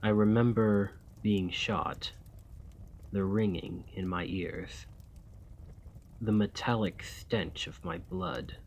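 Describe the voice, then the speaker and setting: A man playing a weary, courtly, centuries-old vampire and former soldier in an ASMR roleplay, speaking in formal, old-fashioned, measured diction. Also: lowish voice, gravelly, a little mellow, a little hiss or hum. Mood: haunted, sorrowful.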